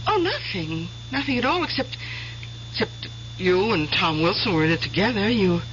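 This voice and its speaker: Radio drama: loving, curious young wife, middle pitch, bright edge, dull old-tape sound. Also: female voice, sing-song, moderate pace, a little hiss or hum. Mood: curious, probing.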